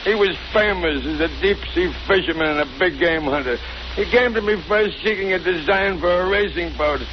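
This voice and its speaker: A man's voice: high-pitched, moderate pace, lightly acted. An actor playing a tormented, frightened, guilt-ridden man in a 1930s radio drama, with an anguished, melodramatic delivery. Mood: remorseful. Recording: noisy, muffled 1930s radio recording.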